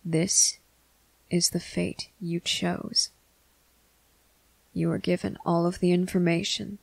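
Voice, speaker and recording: A performer playing a stern, protective male vampire officer in an ASMR roleplay, speaking deliberately and sometimes hushed, with a commanding manner. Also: mid-range voice, level tone, creaky, dry recording, low-quality recording. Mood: grave, firm. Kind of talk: ASMR roleplay addressed to the listener.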